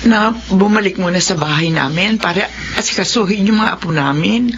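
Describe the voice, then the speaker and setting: A woman's voice: medium pitch, moderate pace, dull audio, slight background noise, close to an everyday voice. An actress playing a remorseful middle-aged Filipino mother in an emotional, pleading melodrama style. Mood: gentle, subdued.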